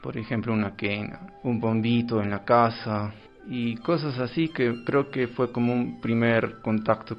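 A man's voice: mid-range voice, gravelly. A man with a Peruvian accent, an orchestra director answering informally as an interview guest. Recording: dull lo-fi sound, faint music.

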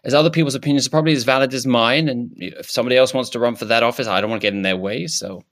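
A man's voice: medium pitch, very fast, a bit bright, natural voice. A man improvising a shy male politician who has no opinions, talking in hesitant, stammering restarts. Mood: evasive. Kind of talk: improvised comedy character.

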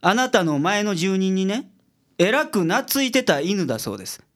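A man's voice: sits high, sing-song, moderate pace, a bit bright. A man playing a blunt, logical private detective with a Kansai accent in a radio drama. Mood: composed, explaining. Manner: explanatory, blunt with his juniors. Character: gruff, logical male private detective, secretly soft-hearted.

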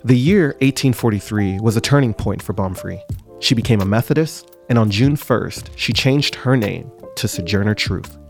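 A man's voice: fairly low pitch, normal speed, creaky, soft background music. Man narrating a history segment. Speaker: podcast host.

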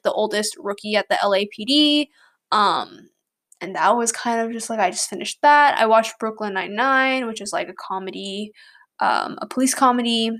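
A woman's voice: a little high, fairly bright tone. A young woman hosting her own podcast, chatting casually and rambling with many likes and ums. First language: English. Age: young adult, student. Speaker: podcast host. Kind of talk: casual solo podcast chat.

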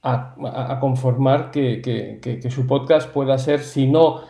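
Guy being interviewed, middle aged, mellow voice, wordy, roomy echo. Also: mid-range voice, unhurried, gravelly. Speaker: interview guest, company founder. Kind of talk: explanatory interview talk. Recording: roomy, echoey sound.

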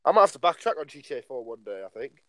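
A nineteen-year-old man co-hosting a relaxed podcast. He rambles through his points, leaning again and again on "like" and "obviously". His voice sits at a middling pitch, recorded close and dry. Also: very fast, dry recording. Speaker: podcast co-host. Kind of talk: casual podcast chat.

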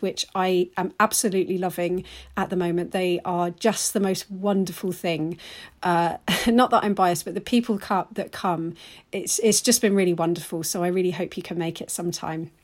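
An enthusiastic woman hosts a podcast. Her voice has a middling pitch, and she reads her announcements with open delight. She then asks her guest questions in a gentle way. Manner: enthusiastic, encouraging listener sounds. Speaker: podcast host. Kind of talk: scripted intro, then interviewing.

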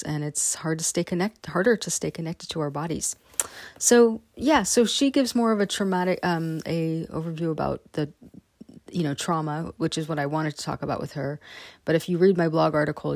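Low, gravelly-voiced woman podcast host. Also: a little mellow, dry recording. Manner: rambling, many ums and restarts. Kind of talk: casual podcast intro and interview.

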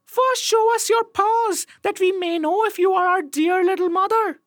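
A man's voice: very high-pitched, normal speed, a bit bright, no echo, studio quality, voice pushed up. A man voicing timid but defiant little goat kids in a childlike, singsong way for a storybook tale. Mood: wary.

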